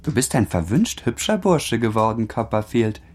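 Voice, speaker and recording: An actor in a German radio drama playing a charming, arrogant young aristocratic student, languid, teasing and condescending. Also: male voice, lowish voice, sing-song, normal speed, husky. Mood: breezy, amused. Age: young adult.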